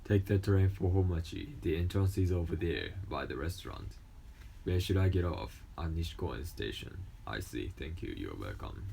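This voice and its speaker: A young man whose first language is Japanese, speaking English with a mostly American accent and a slight Japanese trace, reading textbook lessons aloud with halting restarts. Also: low voice, animated, gravelly, dark tone, a little hiss or hum. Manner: halting, with restarts and self-corrections. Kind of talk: casual talk, then reading school textbook passages aloud.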